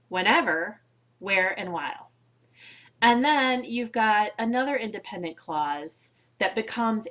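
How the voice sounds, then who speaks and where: A woman's voice: mid-range voice, fairly bright tone. A woman college English instructor giving a recorded slide lecture, chatty, explaining with small asides and fillers.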